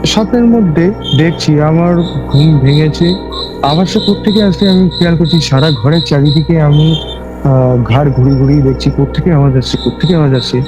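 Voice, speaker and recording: A man tells a personal story conversationally, haltingly, often repeating his phrases. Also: on the high side, rough recording. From West Bengal, India. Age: 20s. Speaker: guest recounting a personal ghost experience. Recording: music bed with cricket and insect sounds.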